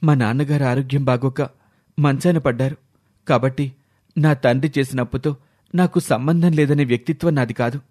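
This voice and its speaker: A man's voice: mid-range voice, steady tone, moderate pace, darkish tone, faint music behind, close to an everyday voice. A man playing a dutiful young son, earnest and resolute, in a dramatised moral story. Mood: pleading.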